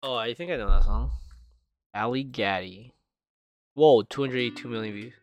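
A man's voice: medium pitch, moderate pace, no room sound, soft background music. A young man co-hosting a casual podcast chat, asking curious questions, swearing casually and trailing off mid-thought. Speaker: podcast co-host.

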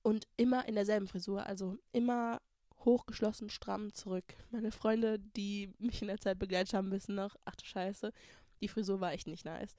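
A woman's voice: mid-range voice, fairly even intonation, moderate pace, mellow, dry recording. A young woman from Germany in her twenties talks through a personal topic in a casual, candid monologue. She leans hard on certain words and slips English words into her speech. The recording is dry and close, with no room sound. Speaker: podcast host.

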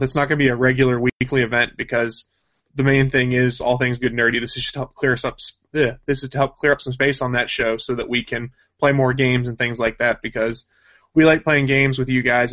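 A man's voice: mid-range voice, a bit bright. A man hosting a casual, off-the-cuff podcast, chatting informally about news and plans. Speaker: podcast host.

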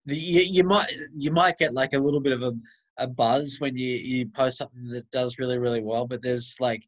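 A man's voice: medium pitch, steady tone, a little fast. A man, hosting a podcast in casual chat, agreeable and swearing casually. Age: 30s. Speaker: podcast host.

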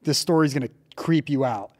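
A man hosting a casual podcast, telling stories in an animated way with lots of filler words like "like" and "dude.". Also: mid-range voice, brisk. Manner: animated storyteller, heavy use of "like" and "dude". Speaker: podcast host.

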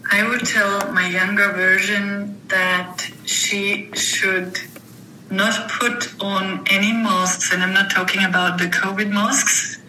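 A woman answering interview questions in a thoughtful, halting way, often restarting her sentences. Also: mid-range voice, flat delivery, sharp tone, reverberant, slight background noise, low-quality recording. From Germany. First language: German. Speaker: guest, author and mentor.

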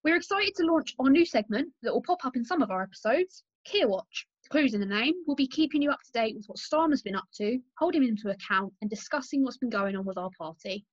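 A woman's voice: a little high, animated, brisk, hardly any pauses. A British woman hosting a political podcast discussion, recorded at home. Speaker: podcast host. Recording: muffled, roomy home recording.

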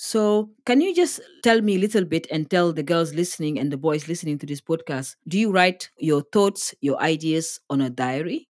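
A woman's voice: deep voice, expressive, moderate pace, hardly any pauses, a little mellow, no room sound, clean studio sound. A woman hosting an interview podcast, talking with effusive praise for her guests. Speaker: podcast host.

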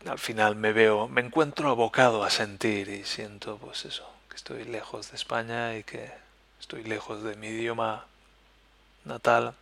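Spanish man with a Spain accent, gravelly podcast host, soft introspective delivery, dry close sound. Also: lowish voice, steady tone, dry recording. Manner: soft-spoken, introspective, halting. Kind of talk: personal reflective monologue. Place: living in Germany.

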